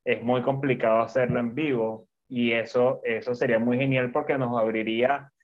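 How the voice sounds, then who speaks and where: A man's voice: medium pitch, little pitch movement, normal speed. A man from Venezuela co-hosting a casual chat over a video call, rambling and repeating himself, laughing often. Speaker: co-host. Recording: dull lo-fi video call audio.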